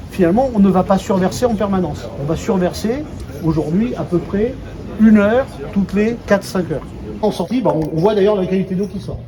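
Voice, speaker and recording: A man giving an informal, colloquial explanatory talk to visitors on site, simplifying technical points as he goes. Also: sits high. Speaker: company executive presenting a water treatment plant. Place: on site at a treatment plant. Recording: dull sound, heavy ambient noise.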